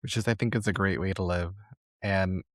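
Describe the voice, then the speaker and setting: A man with a fairly low voice hosts a conversational interview. He is encouraging and generous with praise. The sound is dry, with very little room on it. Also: fairly even intonation, a little fast, no room sound. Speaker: podcast host interviewing a guest.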